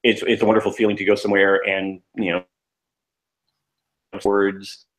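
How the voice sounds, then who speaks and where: A man's voice: lowish voice, flat delivery, moderate pace, fairly bright tone, rough recording. A man co-hosting a casual podcast chat, rambling with many restarts and fillers. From United States. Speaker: podcast co-host.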